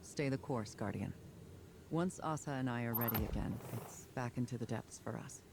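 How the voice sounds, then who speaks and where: A man's voice: mid-range voice, moderate pace, creaky, background noise, lightly acted. A man playing a resolute, burdened military commander, earnest and firm, in a video game story monologue.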